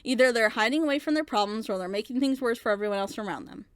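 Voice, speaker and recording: A woman's voice: a little high, rapid-fire, no echo, studio quality. A woman giving an opinionated, casual review in an emphatic, critical manner. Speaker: reaction show panelist.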